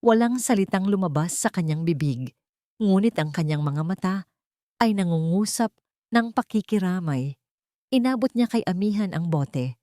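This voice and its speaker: A Filipino woman narrates a scripted drama in audiobook style. Her voice sits a little low for a woman. The recording is very dry, with hardly any room sound. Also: expressive, moderate pace, dark tone, dry recording.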